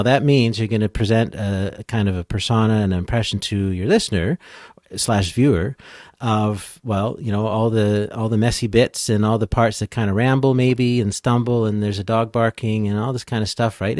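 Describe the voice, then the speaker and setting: A man giving casual, informal expert explanations as a podcast guest, thinking out loud as he goes. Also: fairly low pitch, dry recording, clean studio sound. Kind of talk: casual explanatory interview talk.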